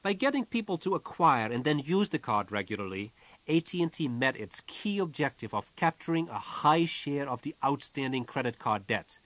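A man reading a business audiobook from a script in a measured, businesslike way, as its author. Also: medium pitch, big pitch swings, fairly bright tone, dry recording.

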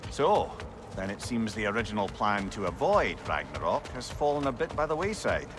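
A worldly companion in a video game. His pitch moves freely as he reasons things out. He is wry, earnest and fond of a long phrase, with music clearly behind him. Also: very animated, normal speed. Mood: wry concern. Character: shrewd, worldly male advisor and loyal companion. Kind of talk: video game character acting.

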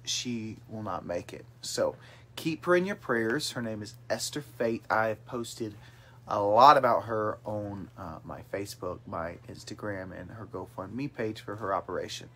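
A man's voice: lowish voice, unhurried, pushed and bright, a little hiss or hum. Upbeat young man reading viewer comments. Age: 20s. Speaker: host of a family livestream chat. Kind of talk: casual chat. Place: small bedroom with brothers on a couch. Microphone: phone camera held at arm's length.

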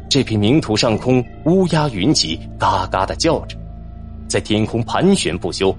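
A man reading a fantasy novel as a dramatic audiobook narrator. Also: lowish voice. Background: music.

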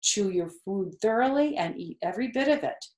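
A woman voicing a calm, motherly female spider in a children's storybook, reassuring, collected and gently instructive. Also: mid-range voice, moderate pace, lightly acted. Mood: gently firm. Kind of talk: read-aloud children's storybook. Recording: roomy, echoey indoor sound.

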